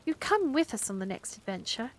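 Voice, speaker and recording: A woman's voice: fairly high pitch, sing-song, fairly quick, pitched above the performer's own voice. A woman voicing an eager, persistent young girl who keeps asking questions. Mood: eager, curious.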